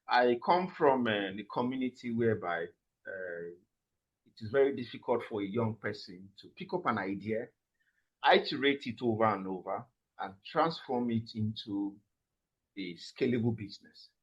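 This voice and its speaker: Male guest from Nigeria, husky and measured, lo-fi remote audio. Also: fairly low pitch. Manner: measured, frequent "uh" fillers. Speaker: guest, summit president. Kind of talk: interview answers.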